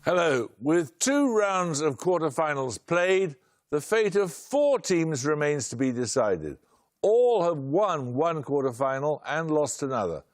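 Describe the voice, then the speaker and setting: An older British man hosting a studio quiz show, reading questions in a brisk, dry, clipped way. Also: a little high, big pitch swings. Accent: British English. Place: television studio.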